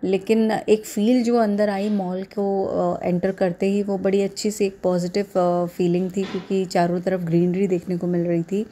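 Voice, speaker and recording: A woman in her thirties with an Indian accent, narrating a casual vlog in a halting, hesitant way with repeated filler phrases. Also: medium pitch, flat delivery, warm tone, a little hiss or hum. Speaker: vlogger.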